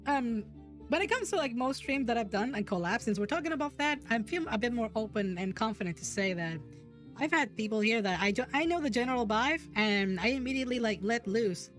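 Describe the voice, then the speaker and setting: A woman's voice: fairly high pitch, animated, a little fast, a bit bright. A woman hosting a chat show, speaking English with Spanish as her first language. She rambles, restarts her sentences and giggles often. Faint music plays underneath her voice. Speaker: podcast host. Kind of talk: casual streamed chat.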